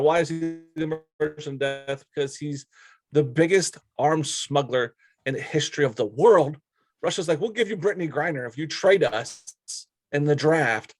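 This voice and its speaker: A man's voice: a little high, no echo. A man co-hosting a sports talk show, chatting casually in a blunt, informal, opinionated way.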